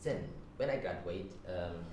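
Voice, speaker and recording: A man's voice: low voice, lively intonation, unhurried. A man in his thirties from Sudan with a Sudanese Arabic accent, a native Arabic speaker, hosting an informal podcast interview. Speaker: podcast host. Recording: echoey room, distant mic, background noise.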